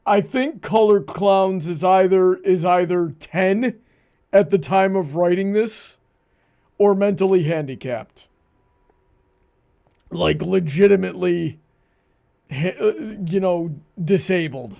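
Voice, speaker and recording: A man's voice: high voice, slow pace, gravelly, pauses often, dark tone. A man hosting a show with casual, incredulous and exasperated commentary. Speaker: host.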